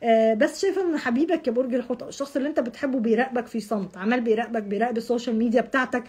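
A woman in her thirties with an Egyptian Arabic accent gives a casual horoscope reading to her viewers at home. Her voice is husky and hoarse from shouting, and she repeats words as she speaks straight to the viewer. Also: on the high side, very fast. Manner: chatty, repeats words, addresses the viewer directly, gestures with hands. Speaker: astrology channel host. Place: home room with curtain backdrop.

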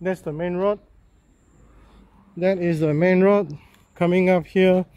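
Slow-talking man giving a house tour. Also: high-pitched, steady tone, darkish tone, clean tone. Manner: halting, repetitive phrasing with many fillers. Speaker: real estate agent. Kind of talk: casual property walkthrough. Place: walking through a house and yard, in and out of doors.

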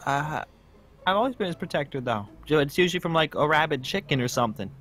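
Male player as young apprentice hero, fast, sharp bright voice, hesitant, complaining, Minecraft roleplay. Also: a little high, animated. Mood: doubtful, complaining. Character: young apprentice dragon fighter, doubtful, questioning friend. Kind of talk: improvised Minecraft fantasy roleplay. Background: music.

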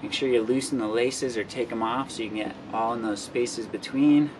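Man explaining casually over clear background noise. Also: medium pitch, fairly even intonation, moderate pace. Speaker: outdoor vlogger. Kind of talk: casual how-to explanation to camera. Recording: clear ambient noise behind voice.

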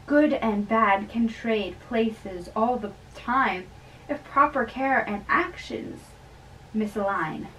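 A young adult woman giving an amateur dramatic reading of a play in a theatrical, deliberate way. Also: on the high side, fairly bright tone. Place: small bedroom, indoors, seated on a bed. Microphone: camera a few metres away. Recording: distant camera mic with faint room noise.

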